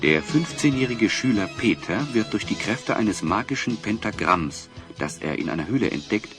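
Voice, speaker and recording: A male storyteller delivers scripted, dramatic narration for a fantasy audio play. His intonation is animated and wide-ranging. Music plays clearly behind the voice. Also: deep voice.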